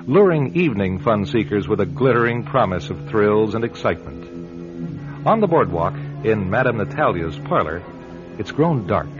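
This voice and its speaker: A man's voice: fairly low pitch, sing-song, moderate pace. A man narrating a classic radio drama in a dramatic, atmospheric scripted style. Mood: atmospheric, measured. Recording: muffled vintage radio, music underneath.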